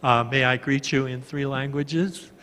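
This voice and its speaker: A man in his seventies from Hawaii, a professor opening a panel talk with courteous, gently humorous remarks. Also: mid-range voice, fairly even intonation, unhurried. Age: 70s. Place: large conference hall. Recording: reverberant conference hall.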